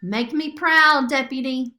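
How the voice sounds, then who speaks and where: A woman's voice: high voice, slow pace, pushed and bright, voice pushed up, heavily acted. A woman voicing a boastful, fierce female cat in a children's storybook, bragging and dramatic as a bossy mentor. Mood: urging.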